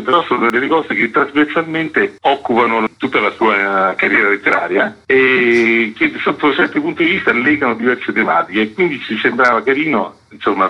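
A man's voice: medium pitch, bright, forceful tone, rough recording. A man being interviewed on radio as a festival curator, explaining articulately in long, flowing sentences. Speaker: artistic director and festival curator, interview guest.

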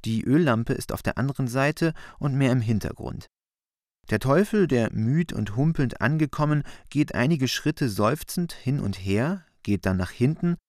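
A man narrating a radio play, reading stage directions in a measured, literary delivery. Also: mid-range voice, darkish tone, no room sound, clean studio sound.

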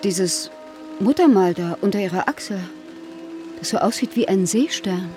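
A woman's voice: mid-range voice, expressive, moderate pace, lightly acted. A woman with a mid-range voice played by an actress, a film star and protective older sister in a radio drama. She speaks naturally, solicitous yet guarded, over faint background music. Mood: stunned.

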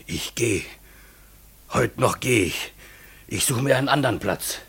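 A frightened young farmhand speaks low and uneasy in a radio play. His voice is gravelly and husky, with a mid-range pitch. He sounds like he is ready to leave the farm. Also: expressive, measured pace, a bit bright, heavily acted.

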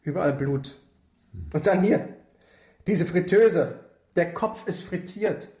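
A factual crime scene investigator in a radio drama. His fairly high male voice reports plainly in an echoey room. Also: slow, a little mellow. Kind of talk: naturalistic radio drama dialogue.

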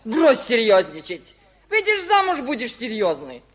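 An old village grandmother in a 1950s radio musical comedy. She has a high voice and gossips and mock-scolds with doting, animated cheer. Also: a little slow.

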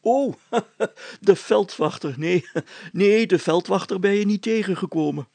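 A man's voice: high voice, measured pace, creaky, mellow, dry recording, voice pushed up, full character voice. A man voicing a jovial, self-assured village mayor in a storybook, with emphatic, confiding talk. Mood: pleased, chuckling.

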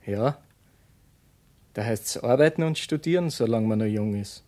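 A man's voice: medium pitch, expressive, moderate pace, dark tone, lightly acted. An actor playing an earnest, dutiful rookie policeman in his twenties with a Viennese accent in a radio play. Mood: smitten.

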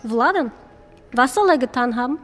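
A woman's voice: high voice, animated, moderate pace, fairly bright tone, in a large hall. A woman playing a proud, grieving Serbian widow in a German radio crime drama, dignified and defensive. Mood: anguished.

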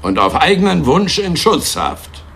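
Menacing, sneering secret police officer, wildly swinging pitch. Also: male voice, sing-song, a little slow, vocal fry, a bit bright, slightly rough, heavily acted. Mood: pressuring, threatening. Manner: mocking, sneering, barks orders when angered. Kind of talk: German radio drama acting.

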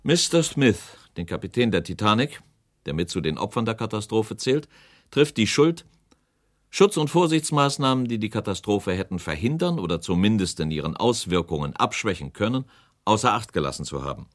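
A man acting a grave inquiry speaker in a radio documentary drama. His low voice moves widely in pitch as he sets out formal, accusing findings in a declamatory way. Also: lively intonation, normal speed, natural voice. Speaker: presiding narrator.

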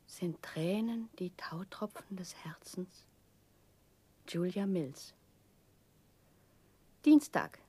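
A woman's voice: mid-range voice, a little mellow, slightly rough, no room sound. An actress in a German radio play playing a sentimental, melodramatic young woman who solemnly reads clipped, poetic entries from her diary. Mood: mournful, poetic. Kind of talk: 1960s German radio drama acting.

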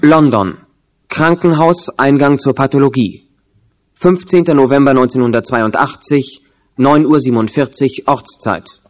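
A man's voice: medium pitch, measured pace, dull audio, faint music behind, lightly acted. A man narrating a German audio drama, announcing scenes in a clipped, factual manner. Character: sober narrator giving place and time.

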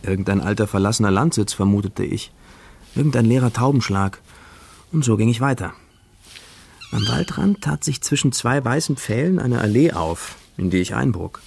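A man's voice: mid-range voice, lively intonation, moderate pace, gravelly, a little mellow, a little hiss or hum. An actor playing a young male wanderer who recounts his journey reflectively in a first-person radio drama. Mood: searching, wondering. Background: music.

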